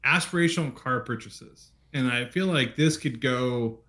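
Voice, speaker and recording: A man hosting a casual car conversation, relaxed and rambling, with hesitant restarts. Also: mid-range voice, normal speed. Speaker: host.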